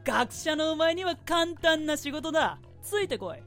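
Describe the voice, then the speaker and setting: A woman's voice: high-pitched, expressive, moderate pace, a bit bright. A woman playing a pompous, shrewd great merchant, male, with curt commanding delivery in a theatrical radio drama. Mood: businesslike, commanding. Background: music.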